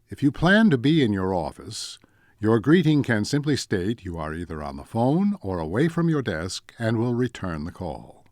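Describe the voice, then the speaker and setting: A man reading scripted instructional narration in a measured, deliberate way, like a training presenter. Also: on the low side, dry recording, studio quality. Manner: measured, deliberate, enumerating points.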